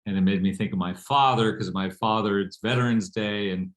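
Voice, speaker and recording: Casting: older man, lowish register, reflective and halting, speaking on an online panel. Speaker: panel guest.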